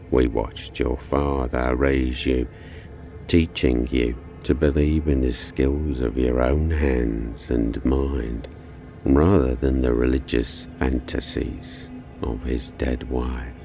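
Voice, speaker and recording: A man playing an ancient evil spirit inside a boy in an audio horror drama, sly, menacing and taunting, with a dark, gravelly, deliberate delivery. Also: a bass voice, full character voice.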